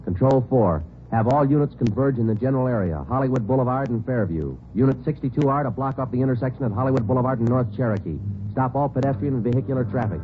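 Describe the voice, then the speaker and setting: A man's voice: medium pitch, fast talker, slight background noise, lightly acted. An actor playing a terse male police sergeant who narrates the case, clipped and matter-of-fact in 1940s radio drama style. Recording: tinny old radio broadcast.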